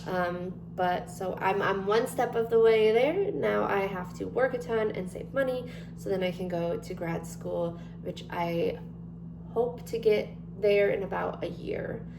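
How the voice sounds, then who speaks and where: A woman's voice: medium pitch, measured pace, gravelly. A woman in her twenties chatting casually to camera, rambling with many ums and likes. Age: 20s. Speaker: vlogger. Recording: echoey room with noticeable hum.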